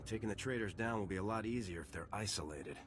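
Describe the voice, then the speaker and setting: A man playing a steady, earnest heroic army lieutenant in a game, confident and clipped. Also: fairly low pitch, fast, lightly acted. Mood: dutiful. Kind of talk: video game cutscene acting. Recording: music behind the voice.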